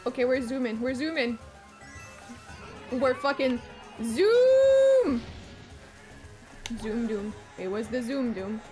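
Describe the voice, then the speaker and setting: Young french canadian girl streaming, bit high voice, swears a lot, faint game music behind. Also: slow pace, long pauses. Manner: excitable, swears freely, hums to herself, bursts into exclamations. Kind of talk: casual live-stream chat while gaming.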